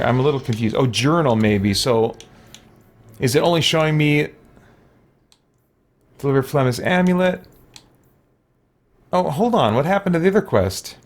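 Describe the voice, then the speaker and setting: A man giving casual let's play commentary, thinking aloud and musing to himself as he goes. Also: medium pitch. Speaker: gaming video host.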